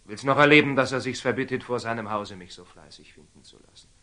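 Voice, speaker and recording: An actor playing a proud, hot-headed knight in love, in an agitated, self-questioning monologue from a classical radio drama. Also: male voice, mid-range voice, fast, pushed and bright, lightly acted. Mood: irritated.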